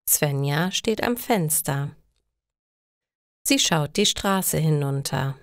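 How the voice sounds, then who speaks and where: A woman reading scripted stories slowly and carefully for language learners. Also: sits low, lively intonation, no echo, clean studio sound. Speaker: storyteller for German learners.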